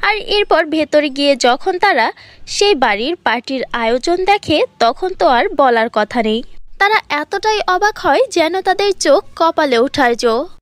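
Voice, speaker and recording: A woman's voice: sits high, lively intonation, unhurried, no room sound. A woman reading scripted fairy-tale narration for a cartoon, as a storyteller.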